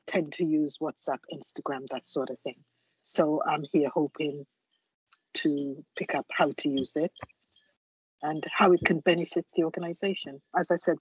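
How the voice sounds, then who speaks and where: A woman's voice: low voice, breathy edge, no room sound. An older woman introducing herself on a video call, hesitant and self-deprecating. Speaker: charity worker, meeting attendee. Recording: dry, dull lo-fi call audio.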